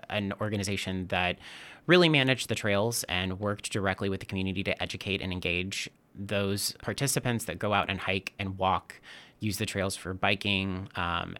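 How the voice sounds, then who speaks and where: Low-voiced, bright-toned man. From Texas. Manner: earnest, with restarts. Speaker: nonprofit trail stewardship staffer. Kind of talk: interview answers.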